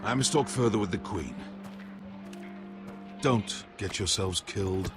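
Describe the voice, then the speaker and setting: A low-pitched noble uncle played by a male actor counsels with care and pragmatism. His delivery is deliberate and diplomatic, in fantasy game drama style, with prominent music behind him. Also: close to an everyday voice. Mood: grave. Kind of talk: dramatic video game acting.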